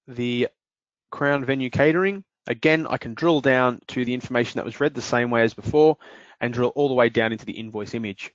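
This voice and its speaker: A man presenting a screen-share software demo, explaining each step in a steady way with occasional fillers. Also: medium pitch, no echo, dull audio.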